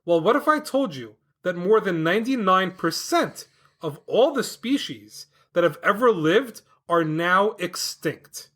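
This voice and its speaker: A man hosts a science podcast for kids with a bright, forward tone. His pitch swings widely as he explains things with sing-song enthusiasm, as if chatting with young listeners at home. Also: animated, a little slow. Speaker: podcast host. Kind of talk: upbeat educational explanation for kids.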